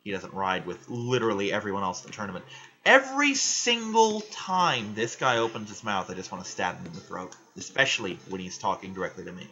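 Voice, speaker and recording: Loud ranting man, bright lo-fi voice. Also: on the low side, very animated, brisk. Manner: loud, ranting, frequent swearing. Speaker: gaming vlogger. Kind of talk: casual rambling chat while playing a game.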